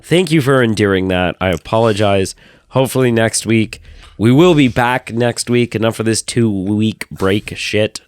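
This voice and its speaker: A man co-hosting a casual podcast chat, hoarse and nasal from losing his voice, teasing his co-host. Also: fairly low pitch, normal speed, dry recording, studio quality. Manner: teasing, sarcastic banter.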